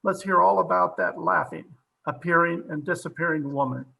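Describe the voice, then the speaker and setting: Man acting a gravelly, high-pitched, brusque police captain. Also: moderate pace, pushed and bright, heavily acted, low-quality recording. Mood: skeptical, impatient. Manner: brusque, demanding. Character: skeptical, brusque police captain questioning a witness. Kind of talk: old-time radio mystery acting. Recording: home video-call recording.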